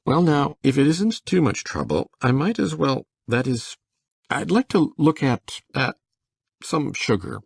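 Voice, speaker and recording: A man playing a shy, flustered, gentle middle-aged country bachelor farmer in an audiobook drama, gravelly and stammering. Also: medium pitch, big pitch swings, unhurried, no echo, studio quality, full character voice. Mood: flustered, hesitant. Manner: stammering, hesitant.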